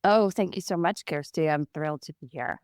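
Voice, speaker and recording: A middle-aged American woman from near Boston, a guest telling her story in a chatty, animated way with occasional laughs. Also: low-pitched, fairly quick, dry recording, clean studio sound. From United States, Boston area. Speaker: guest, founder. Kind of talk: casual interview.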